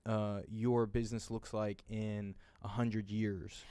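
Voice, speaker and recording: A male interview host with a smooth, resonant voice, who backs up his guest and gathers his thoughts in stops and restarts. The sound is dry and close. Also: on the low side, flat delivery, mellow, no echo. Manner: affirming, halting with restarts. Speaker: podcast host. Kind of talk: casual interview conversation.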